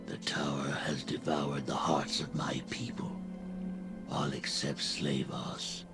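An actor playing a grave, primal lizard-man of the marsh in a video game, speaking solemnly in halting broken English with breathy pauses. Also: very deep voice, little pitch movement, heavily acted. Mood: grave, brooding. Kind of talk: video game character dialogue. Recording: game dialogue over music. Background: music.

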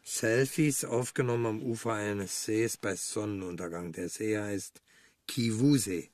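A man's voice: lowish voice, measured pace, darkish tone, no echo, close to an everyday voice. A man playing an eager, sardonic police detective in a naturalistic radio crime drama, matter-of-fact and wry. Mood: brisk, factual. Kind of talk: naturalistic German radio play acting.

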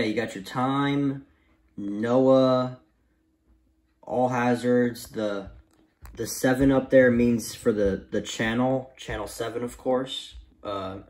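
A man giving a casual, unscripted review in a halting, repetitive and emphatic way, recorded in a room at home. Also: mid-range voice. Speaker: vlogger reviewing gadgets. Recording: roomy, echoey home recording.

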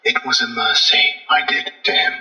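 A man playing a calm, sinister, self-righteous plague doctor creature, speaking in halting, formal phrases in a dramatic interview. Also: mid-range voice, faint music behind, full character voice. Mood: solemn, unrepentant. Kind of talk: dramatic acted dialogue. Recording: muffled, echoey recording, distant from mic.

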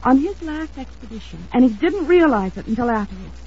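A woman's voice: on the high side, very animated, a little fast, a little mellow, slightly rough, heavily acted. An actress playing an anxious, insistent young woman in a 1950s radio drama, earnest and dramatically emphatic. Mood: fearful, confiding. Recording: muffled old-time radio recording.

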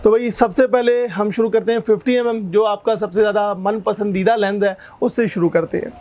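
A man in his thirties with a hoarse throat, explaining camera specs and prices one by one in a shop, recorded on a lapel mic. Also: high voice, fairly quick, slight background noise. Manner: steady explanatory, lists features one by one.

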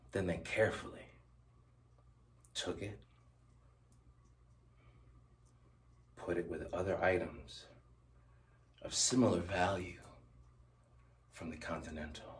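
A man acting a dramatic monologue as a bitter, unhinged character confronting an old acquaintance, halting and volatile, moving between hushed and shouted delivery. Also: low voice. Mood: quiet, wry. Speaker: actor performing a monologue. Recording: roomy echo, distant mic, faint noise.

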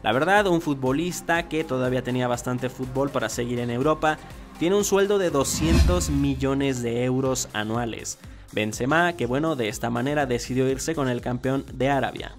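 A man in his thirties presents a football countdown as an online host. His voice sits at a medium pitch, and he delivers the scripted lines in an emphatic presenter style. Music plays behind him. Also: normal speed. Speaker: YouTube host. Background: background music.

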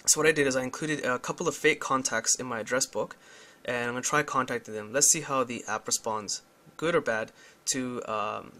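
Young guy doing a casual phone app review, bright voice, just explaining stuff honestly. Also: medium pitch. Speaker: tech reviewer.

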